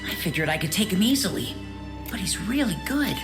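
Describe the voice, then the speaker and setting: Animated, flustered teenage student waiter. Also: female voice, fairly low pitch, brisk. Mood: worried. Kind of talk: anime audio drama dub with inner monologue. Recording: studio voice over clear background music.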